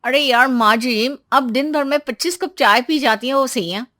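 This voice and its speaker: A sassy young daughter-in-law acted out by a woman with a high, bright voice. She argues back insistently and quickly, in the manner of an animated family comedy. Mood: irritated, cheeky. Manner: rapid, insistent, talking back. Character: sassy, argumentative young daughter-in-law who loves paan.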